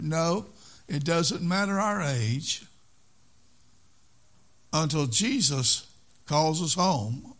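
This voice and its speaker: A man's voice: fairly high pitch, fairly bright tone. Older man preaching a repetitive earnest sermon. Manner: earnest, repeats phrases for emphasis.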